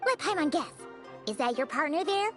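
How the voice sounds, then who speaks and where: A woman voicing a tiny, cheeky, excitable flying fairy girl in a video game, with a squeaky childlike voice. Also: a little fast, over music. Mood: chatty, curious. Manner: refers to self in third person, exclamatory.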